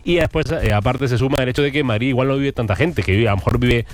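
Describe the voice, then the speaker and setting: A man's voice: mid-range voice, fast talker, no echo. A man with an accent from Spain hosts a quiz in unscripted banter, wry and mock-exasperated in manner. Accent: Spanish from Spain. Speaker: quiz host on a talk show.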